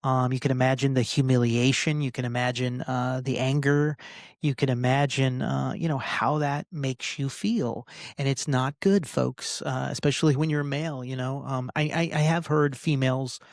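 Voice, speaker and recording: A man in his forties delivers a casual podcast rant with little variation in pitch. His phrasing is halting and circles back on itself, with a frustrated, sweary edge. The sound is dry, with very little room in it. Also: fairly even intonation, dry recording. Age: 40s. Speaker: podcast host. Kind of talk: casual personal rant to listeners.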